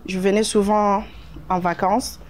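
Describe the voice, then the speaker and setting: A woman's voice: mid-range voice, level tone, unhurried, a little mellow, slight background noise. A woman from Guinea, a beautician, answering interview questions hesitantly and searching for words. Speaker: beautician and salon owner.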